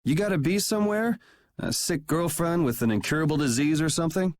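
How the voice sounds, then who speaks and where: A man's voice: fairly high pitch, a little fast, no room sound, clean studio sound, lightly acted. A man voicing a cool, sardonic young swordsman in a video game, laid-back, wry and teasing. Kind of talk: English video game dub acting.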